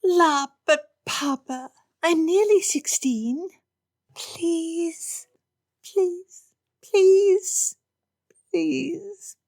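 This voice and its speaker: A woman's voice: creaky, a little mellow, no echo, studio quality, exaggerated voice. Woman doing a whiny spoiled teenage girl. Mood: whiny pleading. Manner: high wheedling pleas, drawn-out begging. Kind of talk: audiobook character reading.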